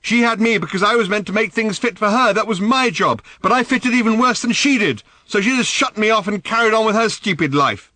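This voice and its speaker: A man reading an audiobook, voicing an angry, hurt teenage girl who shouts at her father. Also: sing-song, normal speed, no room sound, close to an everyday voice. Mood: furious. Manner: shouting, shrill, furious outbursts.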